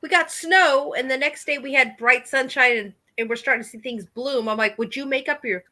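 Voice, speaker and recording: A woman with a high, bright, ringing voice talks in a relaxed group chat. She is blunt and animated, and she swears freely as she goes. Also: a little fast. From Oregon.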